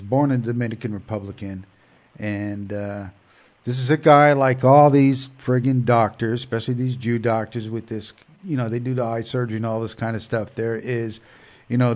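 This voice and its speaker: A man's voice: medium pitch, darkish tone. A man with a cold and a stuffed-up nose, hosting a show in a rambling casual monologue full of fillers and restarts. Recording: dull lo-fi sound.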